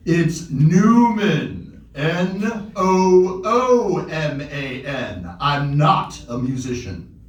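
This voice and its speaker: A man's voice: fairly high pitch, very animated, extremely slow, highs cut off, heavily acted. A man playing a lonely, anxious, awkward man who lives alone, hesitant and sighing, in a live comedy table read. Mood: weary, exasperated. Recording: echoey room, distant mic, faint noise.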